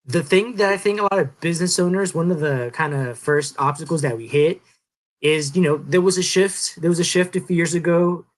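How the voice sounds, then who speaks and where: A man in his thirties co-hosting a podcast, explaining things in a relaxed, rambling way with many fillers. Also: on the high side, fairly quick. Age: 30s. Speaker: podcast co-host. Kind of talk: casual podcast chat.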